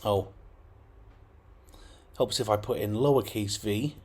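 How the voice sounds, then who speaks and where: A man's voice: on the low side, slow, darkish tone, husky. A man hosting a casual computer tutorial, talking through steps with frequent fillers and checks like "okay?". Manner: chatty, lots of fillers and okays. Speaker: tech tutorial host. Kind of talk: casual screen-recorded tutorial.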